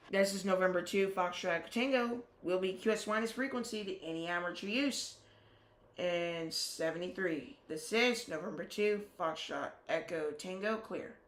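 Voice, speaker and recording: A ham radio operator hosting a live stream, chatting casually in halting, self-correcting sentences and calling out a call sign in phonetic letters. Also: medium pitch, noisy background.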